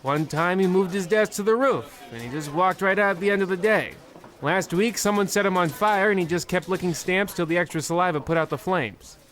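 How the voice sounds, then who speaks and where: A man's voice: high voice, a little fast, a bit bright. A man playing a sardonic, dismissive postal clerk in an old-time radio comedy style. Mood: dry scorn. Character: sardonic, dismissive male postal clerk who joins the mockery.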